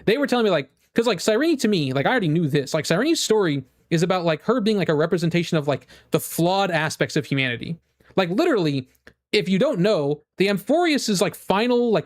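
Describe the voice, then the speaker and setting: A man streaming casually to his viewers, rambling and repeating himself as he gives emphatic opinions in fan-rant style. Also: high-pitched. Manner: rambling, with frequent "like" and repeated phrases. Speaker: game streamer.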